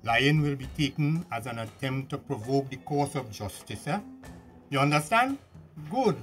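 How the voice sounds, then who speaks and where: An actor with a Tobagonian accent playing a pompous, badgering police officer interrogating someone in a radio drama. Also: male voice, mid-range voice, expressive, normal speed, fairly bright tone, soft background music, natural voice. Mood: insistent, accusing.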